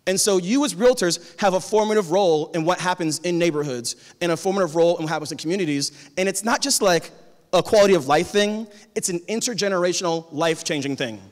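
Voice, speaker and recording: A man's voice: high-pitched, fast talker. Man giving a keynote in a reverberant hall. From Tacoma, Washington. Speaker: keynote speaker, high school teacher. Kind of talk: conference keynote talk. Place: large conference hall on stage. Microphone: handheld cordless mic.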